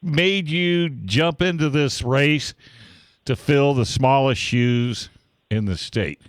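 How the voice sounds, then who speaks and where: A man's voice: medium pitch, slow, clear, resonant tone, no echo, studio quality. A man working as a radio host, speaking in a casual, conversational on-air style. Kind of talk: casual radio chat and sponsor reads.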